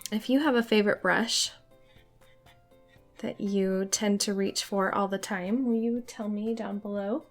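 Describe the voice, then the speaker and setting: A young woman with a hoarse voice from a cold, chatting casually as she teaches a watercolor painting tutorial. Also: mid-range voice, steady tone, a little slow, faint music behind. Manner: friendly, chatty, light laughs.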